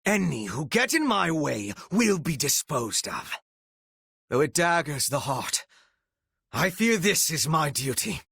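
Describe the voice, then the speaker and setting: Menacing dark retainer, raspy and creaky, sharp edge, formal theatrical diction, devoted strategist, video game character. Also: male voice, a little high, big pitch swings, slow, dry recording, clean studio sound, heavily acted. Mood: cold menace.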